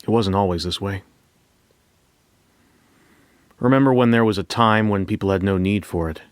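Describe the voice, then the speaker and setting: An actor playing a wry, reflective bank coordinator who tells his story in the first person, in a measured, conversational way. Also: fairly low pitch, smooth, no room sound, natural voice. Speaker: narrator. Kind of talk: first-person scripted audio fiction narration. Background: music.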